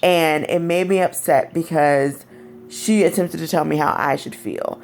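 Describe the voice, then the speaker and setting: A young woman with a raspy, deep voice, speaking candidly in a personal vlog. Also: fairly even intonation, measured pace, a little mellow, faint music behind. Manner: candid, frank and conversational. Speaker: vlogger. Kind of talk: personal storytelling to camera.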